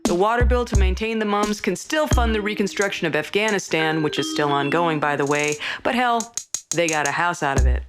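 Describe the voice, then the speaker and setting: A woman reading a satirical comic monologue in a folksy, deadpan manner. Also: on the low side, brisk. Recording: loud instrumental music under voice. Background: instrumental music.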